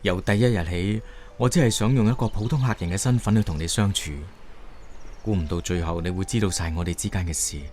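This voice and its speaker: A man's voice: sits low, a little fast, darkish tone, slight background noise, lightly acted. An actor playing a tender, reserved young man in love in a radio drama, with a Hong Kong Cantonese accent. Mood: regretful, loving.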